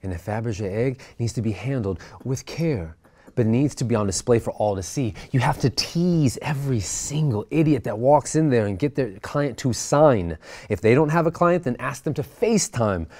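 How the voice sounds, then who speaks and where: A man's voice: medium pitch, expressive, normal speed, a little mellow. A man reading a crude, bullying boss realtor. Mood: lecturing. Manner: crude, domineering, barking insults. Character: abrasive foul-mouthed male boss realtor. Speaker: actor in a script table read. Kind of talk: script table reading.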